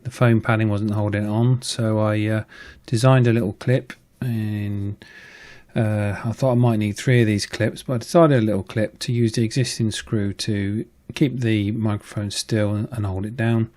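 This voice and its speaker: A man's voice: lowish voice, fairly even intonation, normal speed, darkish tone. A man in his forties narrating a hands-on repair casually, hesitant and thinking aloud as he goes. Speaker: video host, radio restoration hobbyist.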